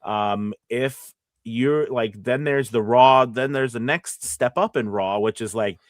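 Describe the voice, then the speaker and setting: A man hosting a casual live teaching chat on a close mic, rambling a little as he thinks out loud and checks in with his listeners. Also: mid-range voice, slow pace, no echo. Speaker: host and voice acting coach.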